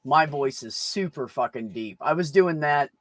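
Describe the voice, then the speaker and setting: A man's voice: fairly high pitch, animated, moderate pace, crowd noise. A man in a casual group chat, talking bluntly and informally with plenty of swearing.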